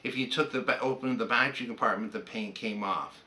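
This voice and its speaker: A man's voice: on the low side, a little fast, pushed and bright. An older man with a Canadian accent, chatting casually and anecdotally as he reminisces like a hobbyist presenting on a vlog.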